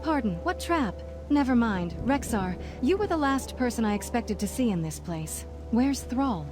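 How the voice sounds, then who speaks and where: An actress playing an earnest, resolute mage leader in a video game, measured and authoritative. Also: female voice, a little high, sing-song. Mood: composed. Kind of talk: video game character acting. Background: music.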